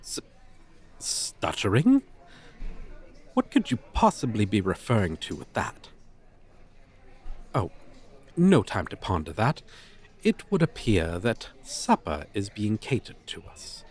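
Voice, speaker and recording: A man's voice: fairly low pitch, wildly swooping pitch, slow, pauses often, a little mellow, husky, exaggerated voice. A man playing a haughty, formal dragon teacher in an audio roleplay, with pompous, wordy, old-fashioned phrasing. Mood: flustered, formal. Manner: pompous, verbose, archaic formal diction. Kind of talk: ASMR roleplay, character acting.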